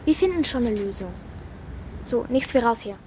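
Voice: medium pitch, animated, normal speed, loud music behind the voice, natural voice. A performer voicing a young girl in a children's radio play, direct, inquisitive and decisive in how she talks. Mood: urgent, decisive.

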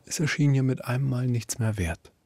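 An actor playing a composed narrator, reading literary radio drama prose in a measured, formal way. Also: male voice, medium pitch, mellow, no room sound, close to an everyday voice. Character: composed literary narrator observing a woman's affair.

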